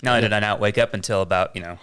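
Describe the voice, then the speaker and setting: A man's voice: lowish voice, steady tone, very fast, a bit bright, clear, resonant tone. A man bantering casually as a livestream guest, with a wry, joking manner.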